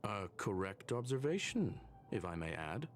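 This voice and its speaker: An actor playing an analytical robot companion in a video game, speaking in a stiff, polite, pedantic way. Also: male voice, fairly low pitch, big pitch swings, moderate pace, soft background music. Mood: detached, analytical. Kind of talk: scripted video game voice acting.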